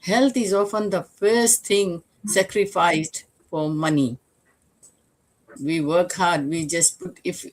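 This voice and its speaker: A woman's voice: fairly low pitch, low-quality recording. An older woman from Fiji hosting a live online talk show, speaking earnestly and reflectively, with rhetorical questions. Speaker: host.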